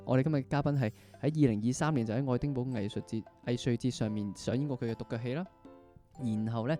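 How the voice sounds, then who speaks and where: A man's voice: mid-range voice, dark tone. A man with a Hong Kong accent hosting a conversational interview podcast. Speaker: podcast host.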